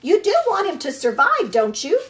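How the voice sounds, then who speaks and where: A woman voicing a bossy old female goose who squawks out rapid, scolding advice in a storybook reading. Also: high-pitched, very animated, pitched above the performer's own voice.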